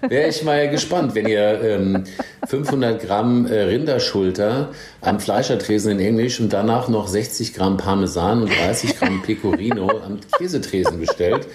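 A man's voice: lowish voice, normal speed, background noise. A man who works as a training captain, chatting casually on a podcast and giving advice with teasing, jokey asides. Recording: roomy echo.

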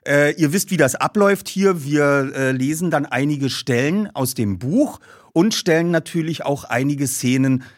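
A man presents as a host to his listeners. His voice sits a little higher than most men's. The sound is close and dry, with very little room. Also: moderate pace, no room sound. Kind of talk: scripted ad read and casual show presenting.

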